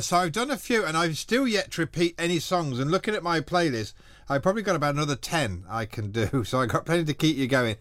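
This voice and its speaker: A man's voice: a little high, a bit bright, no room sound. A man presenting a radio music show, chatting casually and rambling between songs with frequent laughs. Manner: chatty, rambling, self-deprecating, laughs often. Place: small radio studio. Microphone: studio microphone.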